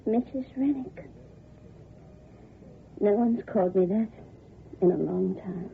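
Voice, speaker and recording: A woman's voice: mid-range voice, slow, gravelly. An actress playing a cool, bitter, defiant woman ghostwriter in an old-time radio crime drama. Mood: weary. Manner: haughty, defiant. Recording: tinny old radio recording, noisy.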